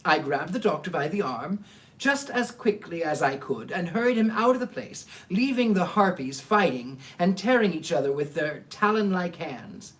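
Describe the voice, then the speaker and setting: A man's voice: fairly high pitch, animated, moderate pace, a bit bright, poor audio. A man hosting a livestream as a storyteller, moving between dramatic live reading and casual asides to listeners.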